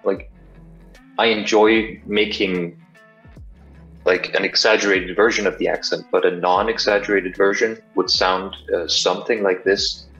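Deep-voiced Danish man on a video call. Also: a bit bright, muffled recording. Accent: Danish, slightly American-sounding English. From Denmark. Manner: thoughtful, self-deprecating, with many fillers. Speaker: guest partner. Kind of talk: casual video call chat. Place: small bright room indoors.

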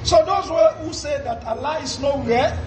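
A man's voice: very high voice, moderate pace, reverberant, background noise. A man in his forties preaching a Friday sermon in a study lined with books. He is emphatic and asks rhetorical questions, then answers them himself. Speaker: Islamic preacher.

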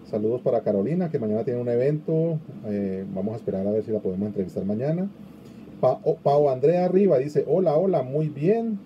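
A man's voice: medium pitch, warm tone, highs cut off, a little hiss or hum. Man hosting a live video-call interview. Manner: chatty, reads viewer comments aloud. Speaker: interview host. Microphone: video call webcam.

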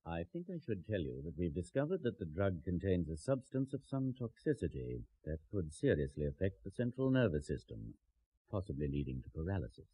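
An actor with a British accent playing a stern, sober doctor in a radio drama, measured and authoritative. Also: male voice, low voice, big pitch swings, mellow, husky, no room sound, full character voice, poor audio. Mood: grave.

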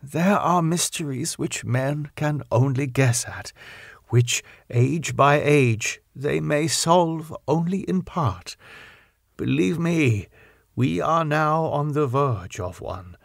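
A man voicing an elderly foreign professor in an audiobook, solemn and compassionate, with deliberate, formal phrasing. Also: medium pitch, expressive, creaky, no echo, studio quality, heavily acted. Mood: grave, careful.

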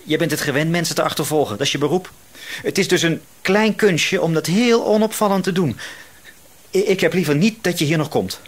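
An actor playing a paranoid, quietly menacing male shopkeeper in a Dutch radio drama, hesitant yet insistent. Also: fairly high pitch, lightly acted. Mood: accusing. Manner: hesitant, insistent, suspicious, drawn-out words.

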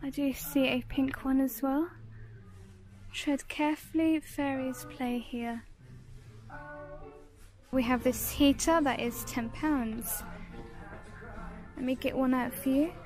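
A British woman with a high voice talks through products for a casual shopping vlog. She moves slowly from item to item in short, simple descriptions, with music playing behind her. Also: steady tone, a little mellow. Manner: short descriptive phrases, listing items. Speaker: shopping vlogger. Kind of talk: casual shop-with-me product showcase narration.

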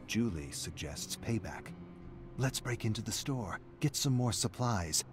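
A man reading a scripted story aloud as narrator, in a measured, dramatic storytelling manner. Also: on the low side, darkish tone, slight background noise.

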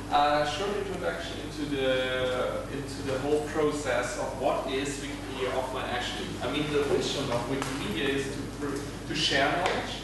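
Male workshop leader, 30s, halting explanatory delivery, distant mic with loud background noise. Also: medium pitch. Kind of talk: informal workshop presentation. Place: classroom-like workshop room indoors. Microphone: camera mic from across the room. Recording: very noisy echoey room, distant mic.

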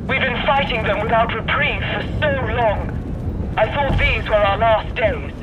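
A performer playing a proud, battle-weary knight of a noble house in a video game. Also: male voice, extremely high pitch, a little slow. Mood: weary, honourable. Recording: slightly dull radio sound, faint noise.

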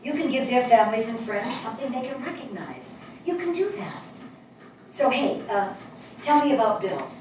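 An older woman playing a gentle, encouraging writer in a staged play reading, reassuring and prompting with questions. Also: a little high, sing-song, moderate pace. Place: small theatre stage before a seated audience. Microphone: distant camera microphone. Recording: very echoey hall, distant microphone, faint noise.

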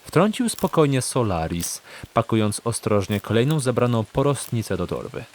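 Expressive male fantasy narrator. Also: mid-range voice, normal speed. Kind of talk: scripted dramatic fantasy narration.

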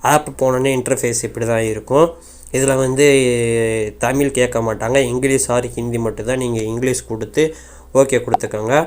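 A man's voice: mid-range voice, steady tone, brisk, a little mellow. A man casually walking viewers through a phone app in an informal, rambling way with repeated filler phrases. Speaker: tech YouTuber. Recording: faint background noise.

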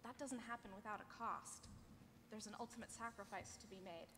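A woman playing a loving, wistful woman of the underworld, tender and measured, at a live table read. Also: on the high side, lightly acted. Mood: tender, regretful. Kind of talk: live table read of a sci-fi comedy script. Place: large convention hall panel stage. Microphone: table microphone on stand. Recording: echoey, noisy convention hall.

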